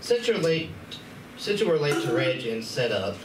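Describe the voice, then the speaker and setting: A man's voice: fairly high pitch, sing-song, normal speed, distant mic. A man playing a nervous, hesitant convention helper in a live amateur radio play, in a noisy, echoey room. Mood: anxious, explaining. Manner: hesitant, awkward. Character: nervous, awkward male convention staffer, eager to help. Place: large indoor room at a convention.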